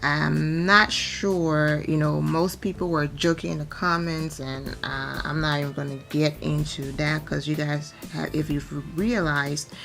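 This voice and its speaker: A hoarse woman, nearly losing her voice, chatting through celebrity gossip as a vlogger in a rambling, filler-heavy way. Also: deep voice, fairly even intonation, measured pace, few pauses, a bit bright, faint music behind. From Atlanta, Georgia. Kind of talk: casual gossip commentary.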